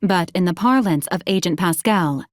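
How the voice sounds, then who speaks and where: A woman voicing a polite, literal female robot assistant in a video game, speaking in formal, clipped, deadpan announcements. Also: fairly low pitch, normal speed, no room sound, studio quality, natural voice. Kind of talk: scripted video game character lines.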